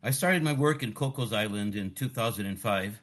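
A man's voice: fairly low pitch, animated, normal speed, fairly bright tone. Californian guy in his 60s, guest explaining his work, earnest, pushes his points hard. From Costa Rica. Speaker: guest, marine conservation scientist. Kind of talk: casual interview talk.

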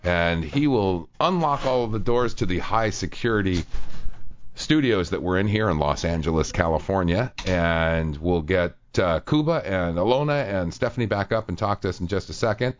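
A man's voice: deep voice, animated, normal speed, lo-fi sound. A man hosting a radio talk show, chatting casually and reading quotes aloud with many fillers. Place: radio studio.

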